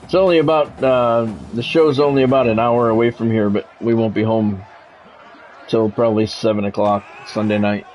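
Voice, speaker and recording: A man's voice: mid-range voice, fairly even intonation, normal speed, highs cut off, faint music behind. A man streaming a sports game, mixing radio-style play-by-play with casual chat.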